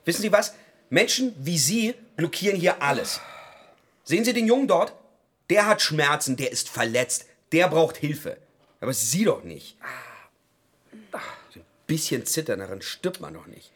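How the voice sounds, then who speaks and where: A notably high-voiced man in a radio play. He is impatient and dismissive, scolding and complaining as if nobody else mattered. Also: very animated, moderate pace, long pauses, a bit bright, husky, heavily acted. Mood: scornful. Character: impatient, dismissive man in an emergency waiting room. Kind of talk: German radio play acting.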